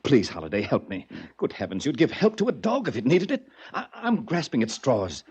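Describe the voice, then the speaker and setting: Desperate hunted rich man, husky and overwrought, pleading, vintage radio drama with muffled sound. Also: mid-range voice, wildly swooping pitch, brisk, a little mellow, heavily acted. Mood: pleading, frightened. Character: desperate, frightened wealthy man marked for death. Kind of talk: 1940s American radio drama acting. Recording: dull vintage 1940s radio recording.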